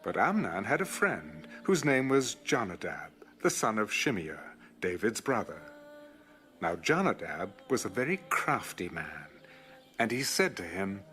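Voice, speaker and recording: A man's voice: fairly low pitch, sing-song, a little slow, sharp tone. A man giving a dramatic scripted narration of scripture for an audio Bible.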